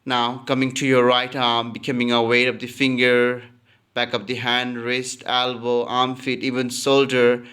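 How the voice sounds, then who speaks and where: Very slow, smooth-voiced man guiding relaxation. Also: mid-range voice. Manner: calm, instructional, repeating phrases. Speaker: yoga nidra teacher. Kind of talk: guided relaxation meditation.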